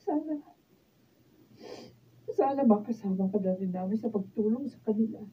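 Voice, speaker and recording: A woman's voice: mid-range voice, animated, normal speed, close to an everyday voice. An actress with a Filipino accent playing a tender, emotional wife and mother in a radio drama. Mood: earnest. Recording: tinny, noisy studio camera recording.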